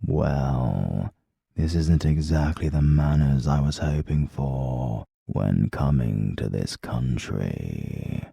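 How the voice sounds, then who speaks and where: A man with a British accent voicing a soft-spoken, coldly polite assassin who talks slowly. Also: rumbling low, lively intonation, vocal fry, breathy edge, dry recording, pitched below the performer's own voice, exaggerated voice. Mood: cold, calm.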